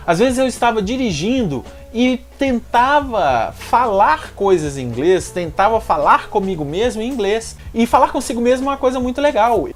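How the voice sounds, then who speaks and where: Mineiro-accented male vlogger, energetic and lively in pitch, addresses the viewer directly over faint music. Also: moderate pace, hardly any pauses. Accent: Mineiro Brazilian Portuguese. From Minas Gerais, Brazil. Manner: energetic, talks straight to the viewer. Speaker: YouTuber giving language-learning tips. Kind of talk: direct-to-camera advice vlog.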